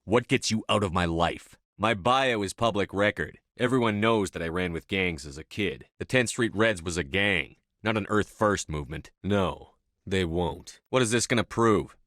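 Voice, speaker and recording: A man's voice: low voice, moderate pace, fairly bright tone, dry recording, studio quality. A male actor voicing a stern, commanding military officer in a science fiction video game, speaking with firm, clipped authority. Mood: firm, assertive. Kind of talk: video game voice acting, dramatic dialogue lines.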